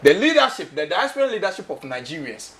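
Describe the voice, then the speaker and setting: A man's voice: sits high, very animated, quick pace, noisy background. A Ghanaian man hosting a studio talk show, speaking English with a Ghanaian accent, passionate and emphatic, repeating his questions and slipping into Twi. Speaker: host.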